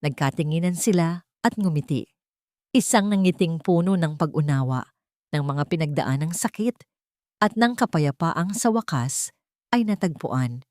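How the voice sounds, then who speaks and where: A woman narrates a dramatic romance story with a measured, gently emotive delivery. Her voice is slightly low for a woman. The recording is close and completely dry, with no room sound. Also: lively intonation, no room sound.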